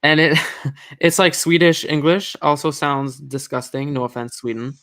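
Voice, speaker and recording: A man with a Norwegian accent, a native Norwegian speaker, hosting a casual live stream in a relaxed, joking way with some hesitations. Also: fairly high pitch, measured pace. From Norway. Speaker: host.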